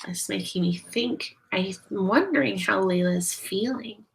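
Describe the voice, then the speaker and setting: Female read-aloud teacher: slow, creaky delivery, explains carefully for kids. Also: mid-range voice, rough recording. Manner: deliberate, explanatory, addressing children. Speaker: teacher reading a picture book aloud to children. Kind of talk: read-aloud storytelling with questions to young listeners.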